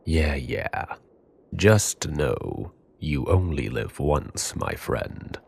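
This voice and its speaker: Man voicing seasoned anime soldier, gruff, extremely husky, bottomless low pitch, grave. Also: big pitch swings, extremely slow, a little mellow, heavily acted. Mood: gruff banter. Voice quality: husky, very deep. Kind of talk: anime audio drama acting.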